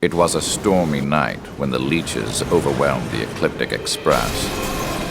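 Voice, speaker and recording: A gravelly, cold villain narrator. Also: male voice, extremely low pitch, exaggerated voice. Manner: slow, grave, measured delivery. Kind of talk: game cutscene narration.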